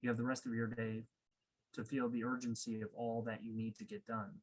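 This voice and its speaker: A man leading a guided meditation as a coach, speaking in a calm, unhurried way with pauses. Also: mid-range voice, poor audio.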